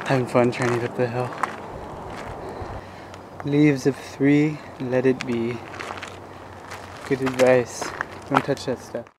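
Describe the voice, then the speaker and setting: A man's voice: mid-range voice, little pitch movement, slow, pauses often. A young man co-hosting a hiking vlog, making dry jokes while climbing an outdoor trail. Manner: dry, wry humor. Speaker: co-host. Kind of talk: casual hiking vlog chat. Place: outdoors on a steep mountain trail. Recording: noisy outdoor camera audio.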